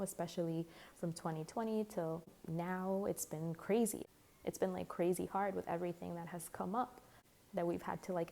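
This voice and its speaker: A woman doing a casual tarot reading to camera, a little hoarse because she is losing her voice, rambling with lots of "like" and "you know.". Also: fairly low pitch, warm tone. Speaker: tarot reader.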